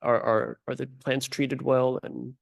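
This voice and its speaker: A man's voice: medium pitch, flat delivery, unhurried, gravelly. A man moderating a talk, reading out online audience questions hesitantly and repeating words. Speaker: event moderator.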